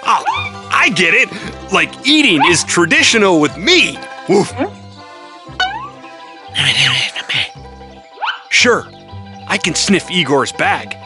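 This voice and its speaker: A friendly pet dog who talks in a children's cartoon. The delivery is playful and simple-minded, with hugely animated pitch. Music runs behind the voice. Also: measured pace. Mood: goofy. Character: friendly, goofy talking pet dog, eager joker.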